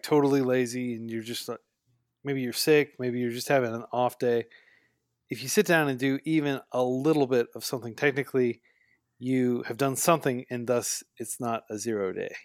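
A man's voice: mid-range voice, moderate pace, no room sound, clean studio sound. A man hosting a casual podcast interview, plainly recapping and rephrasing what he hears and asking questions.

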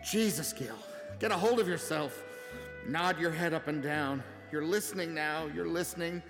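An actor playing a nervous, smitten, hapless low-level ad man in an audio drama, rambling and scolding himself. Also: moderate pace, creaky, music in the background. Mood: flustered. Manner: flustered, rambling, self-scolding. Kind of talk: audio drama acting with confiding inner monologue.

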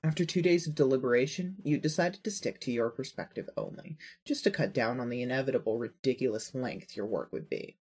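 A man's voice: mid-range voice, fairly quick, few pauses, darkish tone, breathy edge. A man narrating a scripted audio story, reading prose addressed in the second person.

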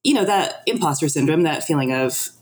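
A woman's voice: low voice, expressive, fairly quick. A woman speaking as a podcast guest, candid and self-reflective, acting out remarks she quotes as she talks. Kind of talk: candid personal conversation.

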